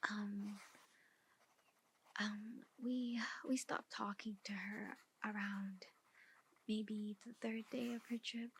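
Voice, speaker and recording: A woman playing a flustered, defensive mother of a missing daughter, speaking softly and hesitantly in an ASMR roleplay. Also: fairly high pitch, slightly rough, exaggerated voice, low-quality recording. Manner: hesitant, emotional, rambling excuses. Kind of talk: ASMR roleplay, soft-spoken.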